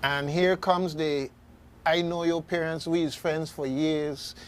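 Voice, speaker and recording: A man's voice: fairly high pitch, fairly even intonation, slow, smooth. A man with a Caribbean accent hosting a casual radio discussion, folksy and speaking straight to listeners. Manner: folksy, addresses listeners directly. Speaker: radio host.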